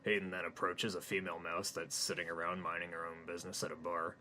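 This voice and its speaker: A man with a deep yet bright voice narrating his own comic story, flat and matter-of-fact. A faint hiss sits under his reading. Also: fast talker. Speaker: narrator and author.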